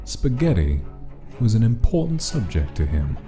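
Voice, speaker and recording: A man's voice: on the low side, sing-song, normal speed, dark tone. A man reading a scripted, dramatic story as its narrator. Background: background music.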